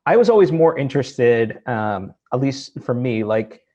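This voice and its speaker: A man's voice: mid-range voice, big pitch swings, unhurried, dark tone, dull audio. A man hosting an interview in a casual, reflective conversational style. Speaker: interviewer, podcast host.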